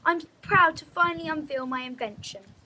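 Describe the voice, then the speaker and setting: A woman's voice: high voice, normal speed, bright, forceful tone, voice pushed up, low-quality recording. A woman voicing a proud, eager lady science teacher in a storybook, deferential as she explains her invention.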